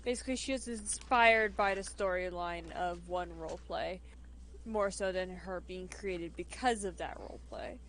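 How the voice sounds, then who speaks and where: A woman's voice: lowish voice, moderate pace, fairly bright tone. A woman chatting casually and improvising role-play narration on a game stream. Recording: faint background noise.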